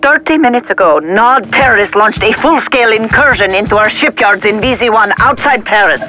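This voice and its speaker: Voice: mid-range voice, expressive, brisk, pushed and bright, dull audio, faint music behind. An actor playing a stern government secretary in a sci-fi motion comic, grave and authoritative. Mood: grave, urgent. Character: stern, urgent government secretary, military superior.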